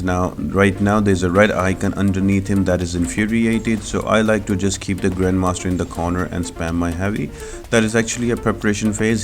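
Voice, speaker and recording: Guy doing a game walkthrough, deep voice, explains stuff step by step, music playing behind. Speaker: gaming video host. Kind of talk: walkthrough commentary.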